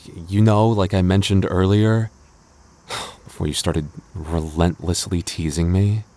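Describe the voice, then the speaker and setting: A man's voice: deep voice, steady tone, measured pace, mellow. A man playing a clingy, jealous boyfriend in an intimate audio roleplay, stammering and mock-indignant but affectionate. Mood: playfully grumbling.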